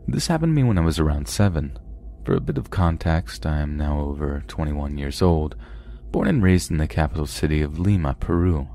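A man hosting a podcast, calmly reading submitted scary stories aloud in a measured first-person narration. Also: extremely low pitch, gravelly, a little hiss or hum. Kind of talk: scripted horror story narration.

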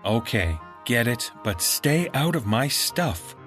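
A man's voice: mid-range voice, very animated, takes it slowly, a bit bright, faint music behind. A man playing a boy's dismissive, secretly sinister mother in a horror story, with a light, condescending manner. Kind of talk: horror story character dialogue.

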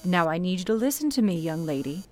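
A woman's voice: lowish voice, lively intonation, darkish tone, faint music behind, lightly acted. A woman playing a composed, motherly switchboard supervisor, formal and authoritative, in an old-time radio play. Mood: earnest, motherly. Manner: formal, measured, authoritative, coaxing.

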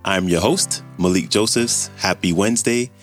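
A male podcast host reading an upbeat, measured show introduction. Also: low-pitched, faint music behind.

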